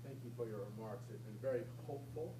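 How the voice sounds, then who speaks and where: Halting audience man asking a question. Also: mid-range voice, very noisy. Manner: halting, many ums and you-knows.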